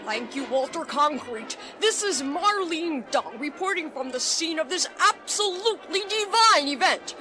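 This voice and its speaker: A woman's voice: high-pitched, expressive, unhurried, few pauses. A woman playing a gushing, affected society reporter in a comic radio drama. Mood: gushing, excited.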